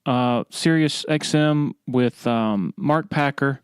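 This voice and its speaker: A man's voice: medium pitch, slow, smooth, dry recording, studio quality. A man hosting a podcast, speaking casually and informally. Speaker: podcast host, interviewer. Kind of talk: casual podcast talk and interviewing.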